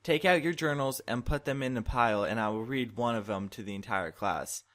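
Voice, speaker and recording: A man voicing a stern older female English teacher in a deliberate, declaiming way. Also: mid-range voice, a bit bright, close to an everyday voice. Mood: commanding.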